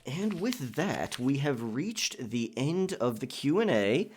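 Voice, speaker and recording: A man's voice: medium pitch, very animated, slow, slight background noise. A man hosting a casual question and answer session for his listeners in a conversational, chatty manner. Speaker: audio roleplay creator and channel host.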